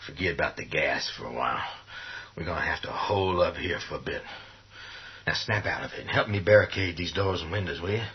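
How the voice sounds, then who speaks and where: An actor playing a gruff, resolute middle-aged working man in a horror radio play, speaking in a folksy, commanding way. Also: low-pitched, a little fast, exaggerated voice. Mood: urgent, commanding. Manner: folksy colloquial drawl, commanding, rough-spoken. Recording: dull, noisy lo-fi audio.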